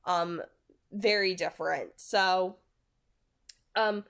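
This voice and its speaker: A woman's voice: lowish voice, fairly even intonation, slow pace, gravelly, a bit bright, clean tone. A woman in her twenties who reads books aloud and talks about them. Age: 20s. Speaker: reader and reviewer on a read-aloud channel. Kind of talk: audiobook-style reading, then casual book commentary.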